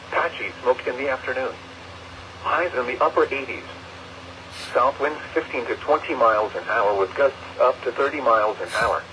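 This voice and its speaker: A synthetic male text-to-speech voice reading scripted weather announcements over the radio in a formal, measured, segmented way. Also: medium pitch, lo-fi sound. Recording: noisy weather radio picked up by microphone.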